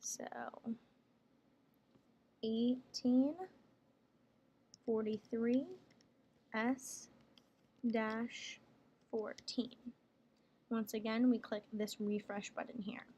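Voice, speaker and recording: A woman working as a software trainer, explaining each step plainly in a casual screen tutorial. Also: on the high side, flat delivery, drawn-out words, pauses often. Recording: clear background noise, room ambience.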